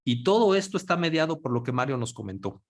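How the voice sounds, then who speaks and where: A man's voice: medium pitch, fast talker. A man speaking as a panelist on a video call, telling a story conversationally. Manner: storytelling, quotes others in lively voices. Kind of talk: conversational talk in an online panel.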